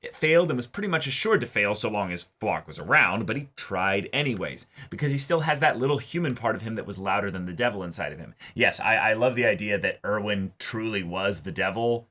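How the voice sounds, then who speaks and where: Male reaction host, lowish pitch, lively swings, forceful bright tone, reading comments and chatting casually. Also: a little fast, few pauses. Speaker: host of an anime reaction show.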